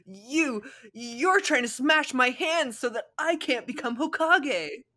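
A woman voicing an excitable, whiny young male ninja in an overdramatic, exclaiming way for a fan fiction reading. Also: sits high, takes it slowly, voice pushed up, full character voice. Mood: accusing.

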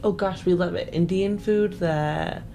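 A woman's voice: lowish voice, steady tone, measured pace, gravelly, mellow. A woman talking casually as a podcast guest, informal and thinking aloud. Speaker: podcast guest and game player. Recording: background music under the voice.

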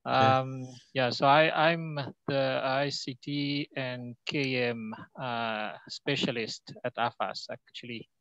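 A man speaks slowly and hesitantly in a friendly, casual self-introduction. The video call makes his voice dull and lo-fi, with little sound of the room. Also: mid-range voice, steady tone, fairly bright tone, clear, resonant tone, no room sound. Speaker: ICT and knowledge management specialist.

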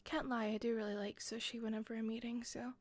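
A woman with a softened Scottish accent playing an attentive hostess in a roleplay, speaking softly into a close mic with frequent giggles and whispers. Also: fairly high pitch, little pitch movement, fast, no echo. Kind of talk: listener-directed roleplay.

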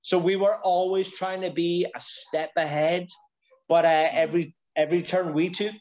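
A man's voice: high voice, flat delivery, moderate pace, darkish tone. A middle-aged man telling stories emphatically in a casual chat over a lo-fi video call. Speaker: guest, bar and venue owner. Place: stone-walled room in a bar.

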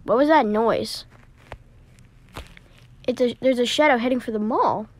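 Amateur animation voice: woman, fairly high, unhurried, drawn-out dramatic delivery. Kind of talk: amateur voice acting for animated story.

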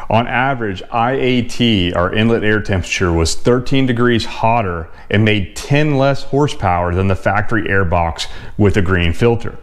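A man in his forties with a fairly low voice walks through racetrack data in a measured, plain-spoken way. He talks straight to the camera from his home garage. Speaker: car channel host. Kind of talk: explaining test results to camera.

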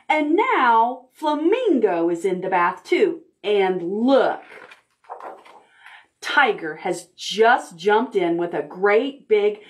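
Slow-paced woman reading to toddlers. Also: mid-range voice. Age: middle-aged. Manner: simple, expressive talk addressed to toddlers. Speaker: library storytime host. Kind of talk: children's storytime read-aloud. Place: indoor room, recorded on video.

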